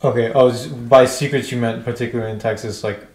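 A man interviewing someone in a casual, tentative way, easing gently into his questions. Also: mid-range voice, fairly even intonation, quick pace. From Bangladeshi background. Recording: roomy echo.

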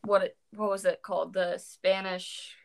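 Tired young woman with a creaky voice. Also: medium pitch, little pitch movement, measured pace. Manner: rambling, many fillers, self-corrections. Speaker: co-host discussing poetry. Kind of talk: casual chat.

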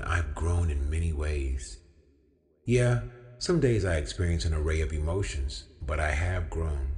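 A young man with an extremely low voice gives an inspirational spoken-word piece. He speaks slowly, in measured phrases set apart by emphatic pauses. Long, hall-like reverb trails the words. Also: a little mellow. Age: young adult. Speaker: featured storyteller.

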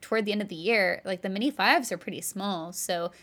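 A woman's voice: mid-range voice, brisk, a bit bright. Chatty woman podcast co-host. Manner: chatty, rambling, laughs lightly, uses "like" a lot. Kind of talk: casual chat.

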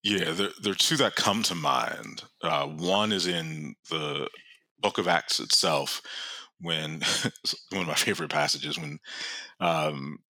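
A man's voice: very deep voice, takes it slowly, bright, forceful tone, no room sound. A man answering questions as a guest scholar, explaining earnestly in a conversational way and laughing often. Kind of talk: conversational teaching.

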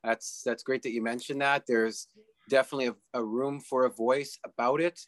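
Measured male co-host, dry sound. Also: medium pitch, fairly even intonation, no echo. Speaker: co-host, voice actor. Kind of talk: casual interview chat.

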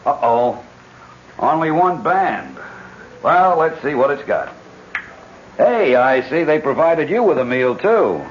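An actor with an American accent playing a tough, decisive ex-agent in a radio drama, speaking in terse, commanding slang. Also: male voice, fairly high pitch, lively intonation, slow pace, long pauses, a little hiss or hum. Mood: casual. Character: tough, decisive American ex-secret-service man leading an escape. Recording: dull old radio broadcast, loud music behind. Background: music.

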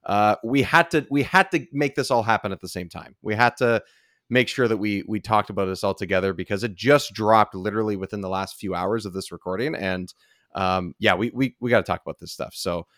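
A man hosting a podcast in casual chat, with a jokey, animated way of reacting. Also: on the low side, fairly quick, no echo, studio quality. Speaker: podcast host.

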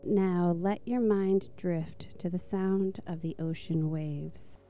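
A woman narrating a guided meditation in a soft, slow, soothing manner. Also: on the low side, fairly even intonation, soft background music.

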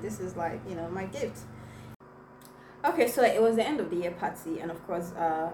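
A young woman whose first language is Igbo, chatting to viewers as she tells a personal story with many fillers. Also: medium pitch. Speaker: vlogger. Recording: echoey room, mic at a distance, noisy.

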